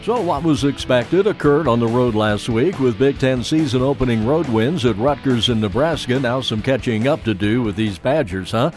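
A man's voice: medium pitch, lively intonation, normal speed, hardly any pauses, a little mellow, faint music behind. A man in his sixties delivering a scripted radio sports report in a conversational way, with small hesitations. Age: 60s.

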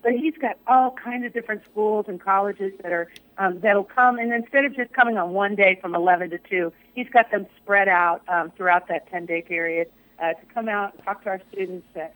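A woman's voice: medium pitch, brisk, fairly bright tone, dry recording, rough recording. A woman, a college chancellor, talking casually on a radio show with lots of ums while losing her voice. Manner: upbeat, many fillers.

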